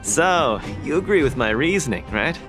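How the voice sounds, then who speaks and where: Animated, upbeat young fighter guy, acted by a man. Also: mid-range voice, moderate pace, over music, lightly acted. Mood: upbeat, playful. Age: young adult. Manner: brash, friendly, casual. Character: upbeat, confident young muscular fighter, eager to help. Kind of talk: scripted game voice acting.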